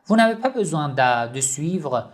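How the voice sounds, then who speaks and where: Slow, emphatic man teaching online. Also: a little high, sing-song. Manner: slow, repetitive, emphatic explaining. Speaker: online Islamic institute teacher. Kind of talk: slow instructional video talk.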